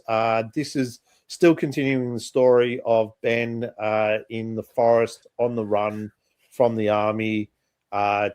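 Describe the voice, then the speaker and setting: A man's voice: lowish voice, darkish tone, no echo. Easygoing male podcast co-host. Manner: easygoing, quick agreements, stammered restarts. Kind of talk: casual chat about comics.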